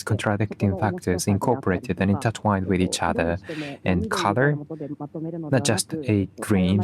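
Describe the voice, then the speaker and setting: A man giving live spoken interpretation at a forum, in halting, piecemeal phrases. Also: on the low side. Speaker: event interpreter.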